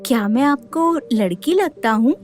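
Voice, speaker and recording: A woman's voice: high voice, expressive, a little slow, darkish tone, faint music behind. A woman playing a clever, polite and guarded little boy in a Hindi audio drama. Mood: puzzled, indignant.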